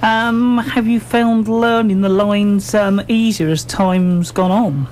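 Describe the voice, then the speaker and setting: Halting male radio interviewer. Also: high-pitched, fairly even intonation, darkish tone, slight background noise. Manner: halting, hesitant question delivery. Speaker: radio show host.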